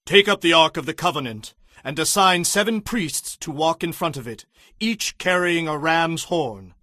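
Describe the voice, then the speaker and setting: A man's voice: on the high side, animated, normal speed, pushed and bright, dry recording, clean studio sound. A man playing an earnest, reverent leader of ancient Israel in a dramatized audio Bible, speaking in a solemn, declamatory way. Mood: commanding. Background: background music.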